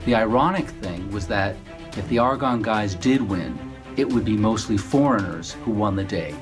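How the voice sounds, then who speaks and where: A man, the author, narrating a book trailer in a measured storytelling voice. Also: fairly low pitch, animated. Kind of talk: scripted narration. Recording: music bed under the voice.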